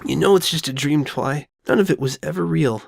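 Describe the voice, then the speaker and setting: A woman voicing a loyal, reassuring young boy dragon who talks plainly and gently insists, in an audio drama. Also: low-pitched, brisk, gravelly, no room sound, studio quality. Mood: soothing. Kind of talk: all-dialogue fan audio drama.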